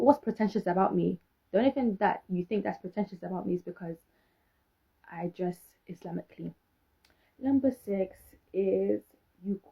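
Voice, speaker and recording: A young woman with a British accent talks straight to her viewers, sassy and sarcastic, throwing out one rhetorical question after another. Her voice has a husky, breathy edge. The recording sounds a little dull and muffled. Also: mid-range voice. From Britain. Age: young adult. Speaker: vlogger. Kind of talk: casual talk to camera.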